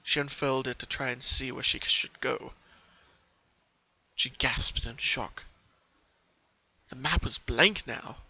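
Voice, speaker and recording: Bright, forceful man narrating a story. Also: mid-range voice, steady tone, takes it slowly, creaky, pauses often, slightly rough. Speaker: fan fiction narrator. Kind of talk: dramatic scripted story narration. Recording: dull lo-fi home recording.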